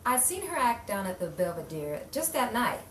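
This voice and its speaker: A woman's voice: medium pitch, sing-song, moderate pace, natural voice. A woman playing a frightened, distrustful crime witness in an improvised noir radio play. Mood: uneasy recounting. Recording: echoey room, distant mic, faint noise.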